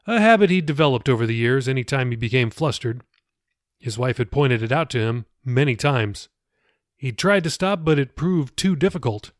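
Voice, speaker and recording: A man's voice: medium pitch, dry recording, clean studio sound. A man, an author, reading his novel aloud as scripted audiobook narration.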